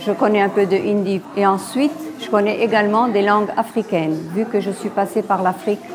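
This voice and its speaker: A woman of Indian origin, born in the Congo, whose native languages are Kutchi and Gujarati, speaks slowly and deliberately in a documentary interview. Clear crowd chatter fills the background behind her mid-pitched voice. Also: fairly even intonation. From born in the Belgian Congo, of Indian origin.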